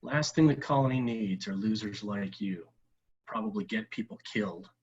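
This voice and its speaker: Man playing a husky, sneering teenage bully, muffled mic. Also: on the low side, normal speed, darkish tone. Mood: quiet menace. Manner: taunting, menacing. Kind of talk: science fiction script table read. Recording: dull, lo-fi microphone.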